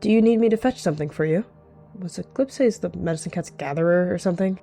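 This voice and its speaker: A man's voice: sits high, fast, dark tone, soft background music. A man voicing a bitter, guarded rogue cat in a roleplay, wary and confrontational.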